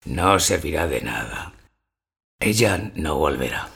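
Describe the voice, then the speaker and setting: An actor playing a bitter, weary maimed door-to-door photographer in an audio drama, blunt but formally polite. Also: male voice, a bass voice, very animated, slow, vocal fry, a bit bright, husky, heavily acted. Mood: polite, resigned. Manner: blunt, resentful, formally polite to his customer. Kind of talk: audio fiction dialogue.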